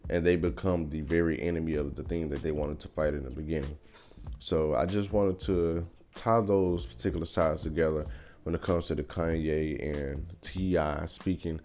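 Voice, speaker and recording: Young man, very low voice, casual vlog commentary, thoughtful, faint music behind. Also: darkish tone. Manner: thoughtful, measured, frequent fillers. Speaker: vlogger. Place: car.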